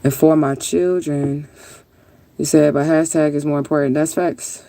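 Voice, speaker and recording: Woman, mellow gravelly voice, laid-back, rambling casual livestream chat, answering comments. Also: low-pitched, steady tone, a little slow. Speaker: livestream host chatting with viewers.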